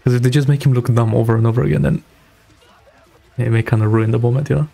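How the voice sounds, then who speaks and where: A man's voice: mid-range voice, fairly even intonation, quick pace, creaky, warm tone. A young man giving casual, slangy live reaction commentary as a streamer, talking into a close mic. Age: young adult. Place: small home room, indoors. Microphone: close broadcast mic on boom arm. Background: music from the video being watched.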